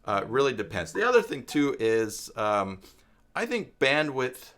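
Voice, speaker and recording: A man's voice: mid-range voice, sing-song, a little slow, fairly bright tone. A man hosting a casual live web tutorial, thinking aloud and rambling with hesitant restarts as he answers viewers. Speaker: live stream host giving web tutorials.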